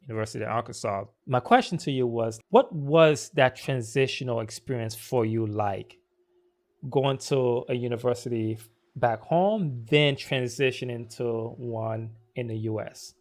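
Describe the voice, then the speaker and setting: A man's voice: medium pitch, a little slow. A man hosting an interview podcast, asking long, encouraging questions in a casual, friendly manner. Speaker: podcast host.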